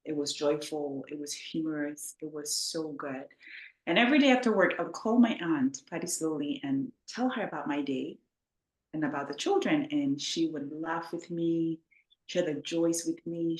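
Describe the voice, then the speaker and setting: A woman from Ghana, a guest author, telling her story in an earnest, heartfelt way. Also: sits low. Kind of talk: interview storytelling. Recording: echoey room.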